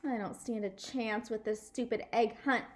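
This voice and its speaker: A woman voicing an excitable, blunt little schoolgirl from a storybook, with a dramatic childlike manner. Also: fairly high pitch, normal speed, lightly acted. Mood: grumpy.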